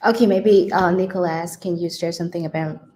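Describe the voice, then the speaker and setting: A woman's voice: lowish voice, steady tone, brisk, darkish tone. A woman hosting a virtual event, introducing speakers in a hesitant, informal way. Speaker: event host. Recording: noisy online call.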